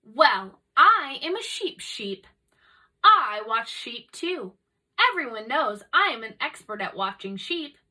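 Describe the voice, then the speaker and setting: A woman's voice: fairly high pitch, sharp tone, full character voice. A woman voicing a confident, bossy female sheep who is eager to help, in a children's storybook. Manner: self-assured, eager to help. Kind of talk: children's storybook read-aloud.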